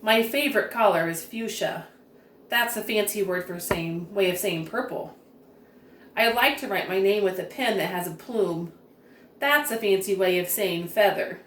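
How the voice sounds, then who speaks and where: A woman's voice: medium pitch, normal speed, fairly bright tone, slight background noise. A woman reading a picture book aloud expressively, enunciating with care for young children. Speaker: children's story reader. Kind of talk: expressive storybook read-aloud. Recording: roomy echo, distant microphone.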